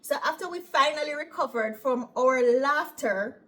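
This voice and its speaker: Jamaican lady talking to her viewers, kinda high voice, chatty and opinionated. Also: normal speed, fairly bright tone. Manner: chatty, opinionated, tags lines with "right?". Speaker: operating room nurse. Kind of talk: casual vlog storytelling.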